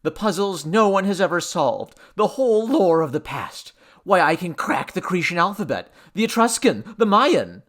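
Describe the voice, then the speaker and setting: Man acting exultant scholar, male, high swooping voice, eager, exclamatory, audiobook fantasy tale. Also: huge pitch swings, measured pace, few pauses, bright, forceful tone, full character voice. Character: excitable, exultant male archaeologist in love.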